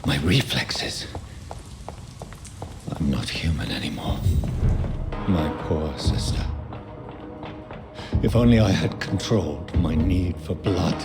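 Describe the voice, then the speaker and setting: A man's voice: low-pitched, expressive, slow pace, long pauses, exaggerated voice. A man playing a tormented, newly turned vampire in a video game, brooding in formal, theatrical monologue. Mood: anguished, vengeful. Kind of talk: dramatic video game acting, inner monologue. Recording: loud game music behind. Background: game music.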